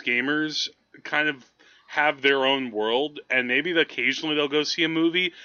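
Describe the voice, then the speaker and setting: A man co-hosting a podcast in casual conversation, animated and often feeling his way through a thought. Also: mid-range voice, no room sound, lo-fi sound. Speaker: podcast co-host. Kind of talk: casual movie chat.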